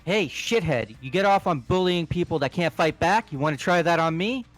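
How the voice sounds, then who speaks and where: A man voicing an aggressive, hot-headed young thug in a fan-voiced game, loud and confrontational. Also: fairly high pitch, animated, normal speed, faint music behind, lightly acted. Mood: furious. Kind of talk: amateur voiced video game playthrough.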